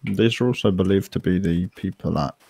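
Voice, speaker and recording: A man's voice: deep voice, a little fast, gravelly, warm tone, slightly rough, dry recording. A man giving improvised police reports in a roleplay game over voice chat. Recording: faintly noisy game voice chat.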